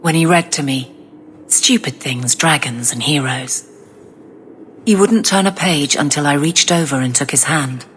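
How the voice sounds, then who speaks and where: Casting: guarded, plain-spoken game warrior, deep female register, restrained tenderness. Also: unhurried, a bit bright, slight background noise. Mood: wistful. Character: tough, guarded warrior woman, awkwardly tender. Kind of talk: dramatic video game voice acting.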